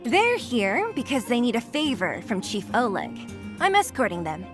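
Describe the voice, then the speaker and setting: A woman voice acting a brisk, blunt young fighter in a video game, curt and no-nonsense. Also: a little high, wildly swooping pitch, faint music behind. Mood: serious, brisk.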